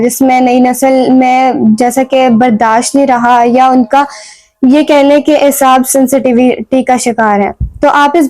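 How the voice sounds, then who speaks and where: A woman's voice: high-pitched, darkish tone, dry recording. A young woman with a Pakistani accent, presenting a talk show and posing prepared questions as host. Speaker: stand-in host.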